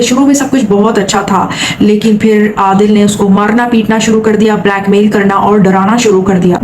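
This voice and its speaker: A woman's voice: medium pitch, darkish tone, slight background noise, poor audio. A woman from Saudi Arabia hosting a vlog, giving commentary and retelling someone else's account. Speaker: vlog host.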